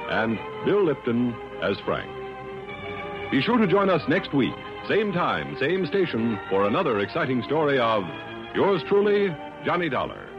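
Very animated American male radio announcer. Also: medium pitch, lo-fi sound. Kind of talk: 1960s scripted radio announcing. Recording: band-limited old radio recording with music.

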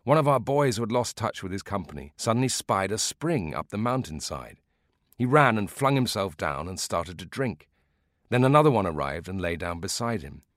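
A man voicing an old Greek war veteran in a storybook, who tells his tale in a dramatic, digressive, drawn-out way. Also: lowish voice, sing-song, fairly bright tone, no echo, studio quality. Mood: suspenseful.

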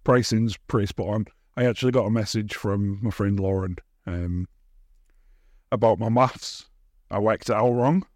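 A man banters on a podcast in a low voice. He needles his co-host and cuts in over him. The sound is dry and close. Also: fairly even intonation, moderate pace, a little mellow, no room sound. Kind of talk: casual chat.

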